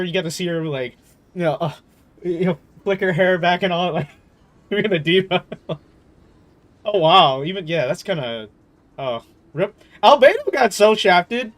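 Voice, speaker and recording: A young man chats on a game livestream in a somewhat high voice. He is casual, slangy and playful as he reacts, with faint game music behind him. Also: a bit bright. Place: in a room at home.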